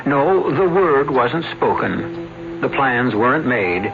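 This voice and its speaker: A man hosting a radio drama with measured, theatrical, ominous scripted narration. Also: medium pitch, very animated, creaky. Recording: tinny narrowband radio, music behind.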